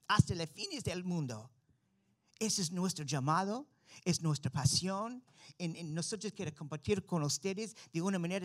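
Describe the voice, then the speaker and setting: An American man, a native English speaker, giving an earnest missionary testimony and sermon to a church with an American accent. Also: sits high. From Florida, United States. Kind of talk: testimony and preaching to a congregation.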